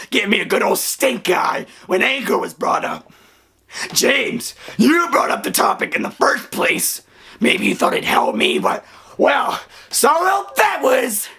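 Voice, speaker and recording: A voice actor playing a hurt, hot-tempered young man with wacky hair, crying and shouting through an outburst. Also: medium pitch, vocal fry, slightly rough, full character voice. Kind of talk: dramatic voice acting.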